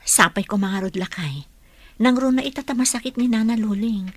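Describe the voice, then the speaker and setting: A woman's voice: a little high, moderate pace, no echo, natural voice. A woman acting in a radio drama as an anxious, compassionate young village woman, with an earnest, sorrowful, pleading delivery. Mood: concerned. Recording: faint music behind the voice.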